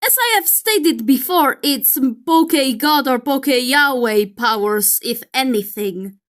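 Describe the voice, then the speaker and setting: A woman's voice: high voice, expressive, slow pace, a bit bright. A woman giving casual reaction commentary as a video creator, playful and teasing, with exclamations.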